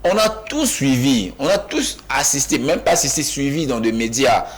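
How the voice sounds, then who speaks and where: A man from Kinshasa, a panelist in a heated studio debate who cuts in, repeats himself and rises almost to shouting. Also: medium pitch, expressive, normal speed. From Kinshasa, DR Congo. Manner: insistent, interrupts, repeats himself emphatically, rising to near-shouts.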